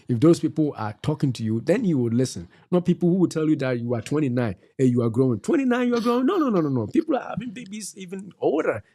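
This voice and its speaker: A middle-aged man cohosting a talk show, his voice mellow and dark in tone. He preaches at his listeners, hammering home each point by saying it again. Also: a little high, sing-song, fast talker. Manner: preachy, exhorting, repeats key phrases. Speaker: co-host. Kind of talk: faith-based advice talk.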